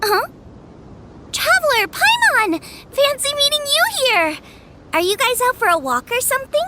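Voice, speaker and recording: A woman playing a bubbly, energetic, friendly young cook in a video game, perky and eager. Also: very high-pitched, normal speed, heavy background noise. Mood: cheerful, friendly. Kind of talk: animated video game voice acting.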